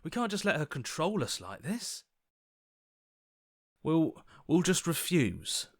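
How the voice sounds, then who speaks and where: A man's voice: on the high side, very animated, slow, no echo, clean studio sound, heavily acted. A man voicing an earnest, anxious hero as a reluctant inner voice in a video game, conversational, hesitant and wry. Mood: uneasy, alarmed. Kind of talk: dramatic video game voice acting.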